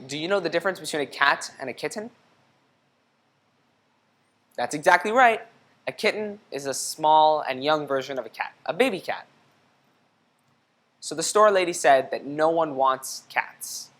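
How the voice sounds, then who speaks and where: A woman reading a children's storybook aloud in an expressive, teacherly way, pausing to put questions to young listeners. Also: sits low. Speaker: storybook reader.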